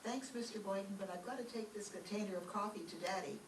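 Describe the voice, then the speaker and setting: A woman's voice: medium pitch, fast, natural voice. Woman playing a polite schoolgirl, distant and echoey. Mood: polite, innocent. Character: polite, dutiful teenage schoolgirl, principal's daughter. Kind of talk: staged old-time radio comedy acting. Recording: distant echoey stage recording, clear background noise.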